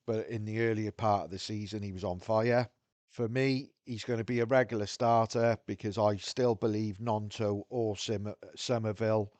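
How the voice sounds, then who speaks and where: A man's voice: on the low side, darkish tone, dry recording. A man with a Welsh accent talking frankly to his viewers, thinking aloud as he goes. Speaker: video host. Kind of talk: casual talk to camera.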